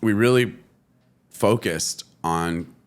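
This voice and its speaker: Deep-voiced Californian man, rambling casually. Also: slow pace, smooth. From California, USA. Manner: relaxed, lots of "you know" fillers. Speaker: guest, entrepreneur. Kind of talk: casual interview.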